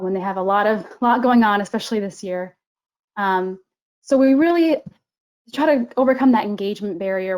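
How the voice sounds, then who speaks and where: A young woman, a government analyst, answering interview questions in a clear, organized way. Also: medium pitch, a little mellow. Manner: clear and organized, explaining step by step. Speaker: management analyst at a regional government council.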